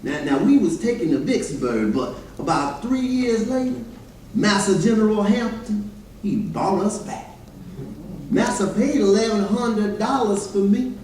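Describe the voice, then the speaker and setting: A man's voice: high voice, animated, a little slow, darkish tone. A man performing a readers theater monologue as an elderly formerly enslaved man, telling his story plainly and emphatically. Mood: solemn recollection. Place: church hall stage, recorded from afar. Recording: distant, very echoey hall, faint noise.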